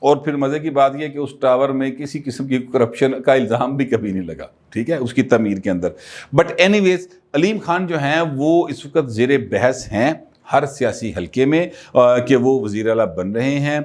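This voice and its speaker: A man's voice: mid-range voice, darkish tone. Chatty political commentator, man. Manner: conversational, many filler pauses.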